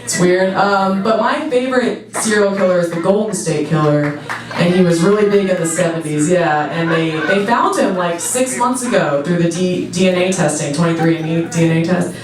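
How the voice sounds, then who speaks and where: A woman in her thirties with a deep voice for a woman, doing a laid-back stand-up set with lots of fillers and giggles. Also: moderate pace, over music. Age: 30s. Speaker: stand-up comedian. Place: comedy club stage. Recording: echoey club, voice from a distance, crowd. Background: audience laughing.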